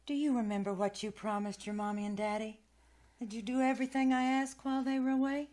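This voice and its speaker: A woman's voice: on the high side, steady tone, quick pace, darkish tone, close to an everyday voice. A woman playing a kindly, fretful nanny in an old-time radio drama, coaxing in a motherly way. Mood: uneasy, soothing. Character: kindly, fretful nanny soothing a little girl.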